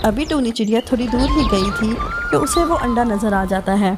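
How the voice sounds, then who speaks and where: A woman's voice: fairly high pitch, wildly swooping pitch, normal speed, loud music behind the voice. A woman giving scripted narration of a children's moral story.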